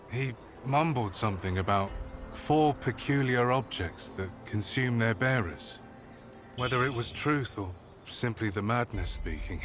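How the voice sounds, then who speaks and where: An actor playing an earnest male informant in a game cutscene, speaking in a grave, measured, formal way. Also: mid-range voice, lightly acted. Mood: earnest, cautioning. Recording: music behind.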